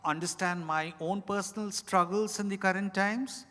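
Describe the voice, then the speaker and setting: A man speaking as an author on a panel, answering off the cuff in a reflective, halting way, phrase by phrase. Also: high-pitched, steady tone, sharp tone. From India. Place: large indoor event hall at a hotel. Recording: echoey event hall, long reverb.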